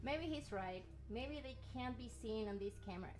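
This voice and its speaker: A woman playing a sharp, anxious scientist under siege in an amateur radio play, earnest and dramatic. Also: fairly high pitch, expressive, moderate pace, voice pushed down, lightly acted. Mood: wary reasoning. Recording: echoey room, music behind.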